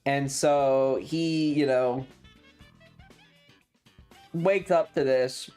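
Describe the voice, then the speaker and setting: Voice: medium pitch, extremely slow, clean tone. A streamer telling a story to chat in a casual, sweary, sardonic way, acting out the dialogue as they go. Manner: sweary, sardonic, animated retelling with acted-out dialogue. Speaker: streamer hosting a variety game stream. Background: video game music.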